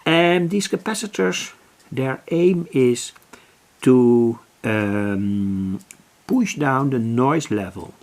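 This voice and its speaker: Hobby electronics host, gravelly low-key voice, slow and halting. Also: male voice, expressive, darkish tone. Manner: slow, deliberate, with many restarts and self-corrections. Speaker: electronics hobbyist explaining a circuit. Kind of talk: slow, halting explanation of a schematic. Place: home workbench.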